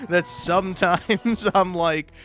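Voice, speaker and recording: A man's voice: sits high, steady tone, takes it slowly, fairly bright tone, faint music behind. A man giving casual, meandering gameplay commentary, swearing freely and poking fun at himself as he chuckles along. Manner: sweary, self-deprecating, meandering; chuckles often while talking. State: high on drugs. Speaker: video game streamer.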